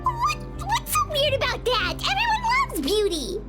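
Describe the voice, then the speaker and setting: A woman voicing a tiny, excitable, naive and chatty female fairy companion in a video game, squeaky and exuberant. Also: a little slow, heavily acted. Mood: excited. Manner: squeaky, exuberant, speaks of self in third person. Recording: game audio with music behind.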